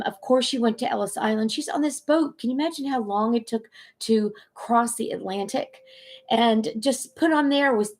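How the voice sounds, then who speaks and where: Middle-aged woman, own channel, earnest heartfelt talk, slightly high voice. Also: gravelly, a little mellow. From United States. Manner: earnest, emotional, with fillers and restarts. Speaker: channel host. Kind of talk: personal talk to camera.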